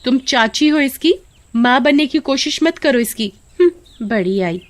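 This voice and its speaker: A woman voicing a cruel, snappish young mother and sister-in-law in an animated story, scornful and scolding. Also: high voice, a little slow, pitched above the performer's own voice. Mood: angry scolding.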